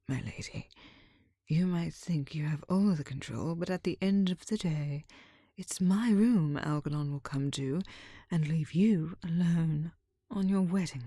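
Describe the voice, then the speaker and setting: A woman plays a haughty, scheming lady in an audio drama. Her voice is breathy, slow and close, with a dry sound. She is condescending, with a spiteful sweetness. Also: sits low, expressive, warm tone, no room sound, heavily acted. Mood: smug, menacing. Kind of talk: ASMR audio drama acting.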